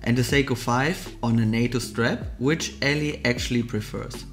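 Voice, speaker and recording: Male vlog host, mid-pitched, keen explainer voice-over with light music behind. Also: fairly even intonation, normal speed. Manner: enthusiastic, explanatory product commentary. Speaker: vlogger, watch channel host. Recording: soft music underneath.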